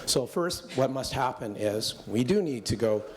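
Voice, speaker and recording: Hoarse Canadian man at a debate. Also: mid-range voice, lively intonation, normal speed, a little hiss or hum. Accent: Canadian English. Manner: rousing, emphatic. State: hoarse from illness. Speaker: mayoral candidate. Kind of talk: political debate.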